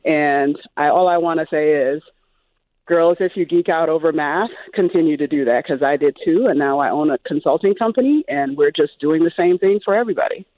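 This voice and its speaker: A woman's voice: low voice, fairly quick, dry recording. A middle-aged woman from Liberia, calling in to a radio show and talking with enthusiasm and encouragement. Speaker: caller. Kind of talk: radio call-in. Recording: tinny phone line.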